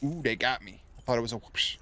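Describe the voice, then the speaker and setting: A man's voice: medium pitch, fast talker, bright, forceful tone, a little hiss or hum. A man giving casual reaction commentary on a TV show, joking around with slang and swearing. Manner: jokey, playful, slangy and profane. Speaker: reaction video host.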